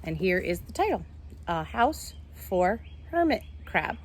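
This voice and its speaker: A woman's voice: fairly low pitch, very animated, a little slow, a little hiss or hum. A woman teacher reading a picture book aloud to young children, instructing and explaining simply as she goes. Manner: instructive, addresses young listeners with simple questions.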